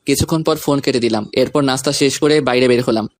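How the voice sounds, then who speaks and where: A man's voice: medium pitch, fast, no room sound. A man reading a scripted first-person story, narrating events and speaking the lead character's lines.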